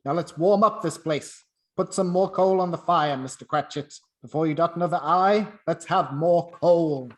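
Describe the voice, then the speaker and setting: A man's voice: on the high side, moderate pace, a bit bright, pitched above the performer's own voice, natural voice. Reformed old miser for radio play male performer: hearty, emphatic, exclamatory, bursting with new generosity. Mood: hearty, generous. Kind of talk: amateur radio play acting.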